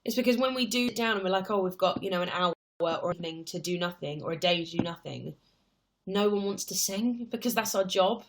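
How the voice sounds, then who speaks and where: A woman's voice: medium pitch, brisk. A woman in her twenties, chatting casually on a livestream, reading viewer questions aloud and answering at rambling length. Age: 20s. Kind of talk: casual live chat.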